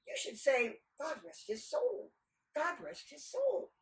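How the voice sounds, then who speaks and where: A woman's voice: high-pitched, wildly swooping pitch, slow pace, recorded from a distance, voice pushed up, exaggerated voice. A woman voicing indignant passers-by in a folk tale, scolding and correcting with emphatic admonishment.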